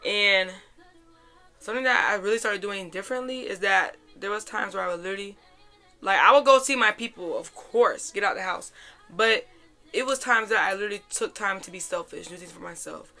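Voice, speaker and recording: A young woman hosting a casual, chatty life-update podcast in an informal, slangy way, her voice a little raspy and hoarse. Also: mid-range voice, fairly quick, bright, forceful tone, soft background music. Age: young adult. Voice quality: slightly raspy, worn voice. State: hoarse after a weekend out. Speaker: podcast host.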